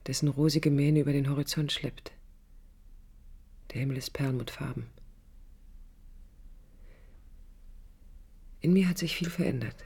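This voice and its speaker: An actress playing a reflective young woman architect who narrates her life in a pensive, deliberate radio-play monologue. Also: low voice, warm tone, breathy edge, exaggerated voice. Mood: hushed, pensive. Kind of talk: literary inner monologue, 1980s radio play.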